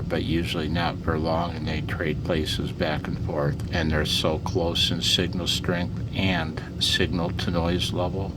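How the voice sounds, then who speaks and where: A man's voice: low-pitched, heavy background noise. A man giving a casual, unscripted hands-on commentary as a radio hobbyist demonstrating gear indoors at home.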